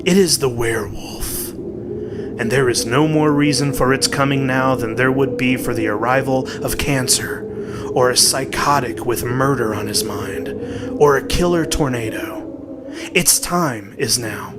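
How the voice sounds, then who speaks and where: Male horror audiobook narrator, mid-range pitch, slow and deliberate, suspenseful delivery over a music bed. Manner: deliberate, suspenseful storytelling with weighted pauses. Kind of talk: dramatic scripted horror narration. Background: clearly audible music.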